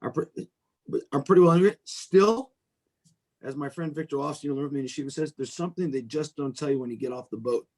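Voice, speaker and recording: Middle-aged male guest speaker, American accent, relaxed dark timbre, informal video-call talk. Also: a little high, fast. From United States. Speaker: venture capitalist, guest speaker.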